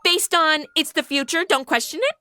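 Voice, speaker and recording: A woman's voice: high voice, moderate pace, pushed and bright, no echo, soft background music. Madison, a woman playing a wisecracking modern girl. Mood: snarky. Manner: wisecracking, sarcastic asides. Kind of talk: comedy audio drama acting.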